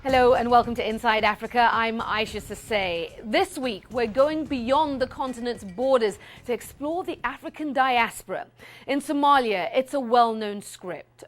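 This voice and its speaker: A woman presenting a television news magazine, reading scripted narration in a measured, formal way. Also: a little high, dry recording. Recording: voice-over with music behind.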